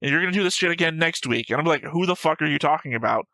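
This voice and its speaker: A man's voice: on the high side, rapid-fire, sharp tone, no echo, poor audio. A man co-hosting an anime show in casual, opinionated discussion, argumentative and emphatic, swearing freely. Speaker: co-host of an anime reaction show.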